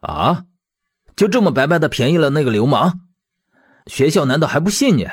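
A man's voice: lowish voice, measured pace. A man voicing a hot-tempered, righteous young former auxiliary policeman in an audiobook, blunt and aggrieved in manner. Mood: indignant. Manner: blunt, indignant, swearing.

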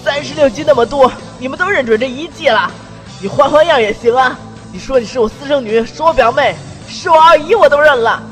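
A man's voice: extremely high pitch, fairly quick, fairly bright tone. A man playing a boastful, hot-tempered demon king who rants pompously, in a fan audio drama. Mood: exasperated. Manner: pompous, ranting, indignant. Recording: long hall reverb with music.

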